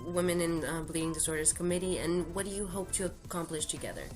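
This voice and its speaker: A woman's voice: lowish voice, quick pace. A woman interviewer asking short questions and giving brief backchannel replies. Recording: music clearly underneath.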